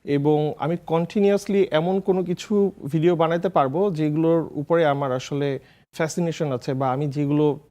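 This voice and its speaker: A Bangladeshi man with a fairly high voice talks as an interview guest. He tells his story in a casual, conversational way. English words and phrases are mixed into his speech. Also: fairly even intonation, normal speed, warm tone. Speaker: guest, food content creator.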